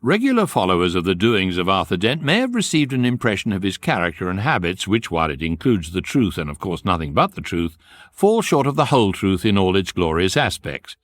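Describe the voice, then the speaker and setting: An actor playing the wry, urbane, measured narrator of a guidebook in a radio comedy, with a British accent. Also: male voice, deep voice, big pitch swings, dry recording. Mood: mock-dramatic.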